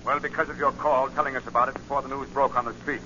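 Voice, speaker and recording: Brusque, sharp police commissioner played by a man. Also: mid-range voice, little pitch movement, very fast, lightly acted. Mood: indignant. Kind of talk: 1940s radio acting. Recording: muffled, faintly noisy 1940 radio recording.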